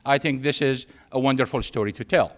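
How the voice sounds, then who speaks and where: A man from Syria with a Syrian Arabic accent and Arabic as his first language, giving a public lecture in an emphatic way with repetition and pauses for effect. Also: mid-range voice. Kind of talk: public lecture with anecdotes and humour.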